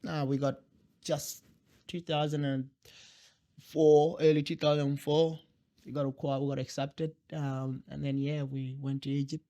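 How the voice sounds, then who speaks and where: A young man from South Sudan whose first language is Dinka, telling his life story in an interview with many pauses, fillers and restarts. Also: on the high side, level tone, mellow. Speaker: guest.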